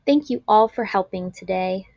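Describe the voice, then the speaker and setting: A woman voicing a kind, patient schoolteacher who gently reassures and encourages her young class in a children's storybook. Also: mid-range voice, normal speed, no room sound, studio quality, natural voice. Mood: gentle, reassuring. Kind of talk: children's storybook read-aloud.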